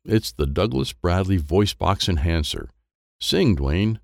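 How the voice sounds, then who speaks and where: A man's voice: deep voice, animated, unhurried, creaky, a little mellow, dry recording, clean studio sound, exaggerated voice. A man voicing a proud, impatient inventor uncle in a children's storybook, showy and instructing. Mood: commanding, excited. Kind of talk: children's storybook character dialogue.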